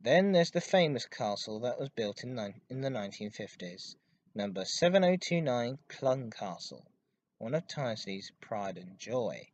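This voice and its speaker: Guy doing a history podcast on his own, mid-pitched voice, bit halting, really leans on his emphasis words. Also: sing-song, highs cut off. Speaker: podcast host. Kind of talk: informative enthusiast monologue about railway history.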